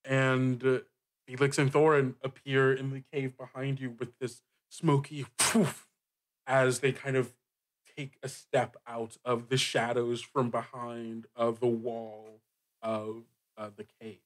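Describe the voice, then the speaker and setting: A man improvising narration as a game master, casual and halting, with lots of filler words. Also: medium pitch, level tone. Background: music bed.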